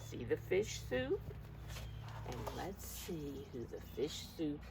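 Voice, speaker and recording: A woman preschool teacher reading a picture book aloud to young children, expressive and coaxing, often putting questions straight to her listeners. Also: low-pitched, slow pace, creaky, noisy background.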